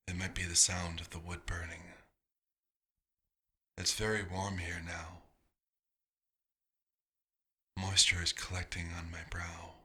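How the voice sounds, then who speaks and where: A very deep, husky centuries-old vampire doctor acted by a man, musing in a soft ASMR monologue. He speaks very slowly with halting pauses. His tone is wistful and dryly fatherly, held in a narrow, even line. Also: heavily acted. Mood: weary, resigned. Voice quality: husky, breathy.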